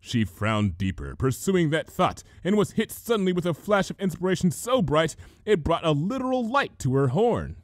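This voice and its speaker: A man narrating a comic fantasy story as an expressive reader. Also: on the high side, moderate pace, hardly any pauses, dry recording, studio quality. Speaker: fan fiction reader.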